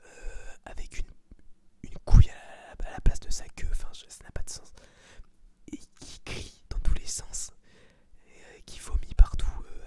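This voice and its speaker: An eighteen-year-old man whispering a casual, opinionated ASMR review in slangy, crude, informal language. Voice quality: breathy unvoiced whisper. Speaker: ASMR video creator giving a film review.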